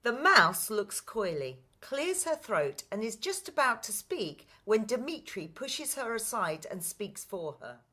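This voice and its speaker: A woman's voice: fairly high pitch, sing-song, unhurried, few pauses, pushed and bright. A woman narrating scripted stage directions for a radio play.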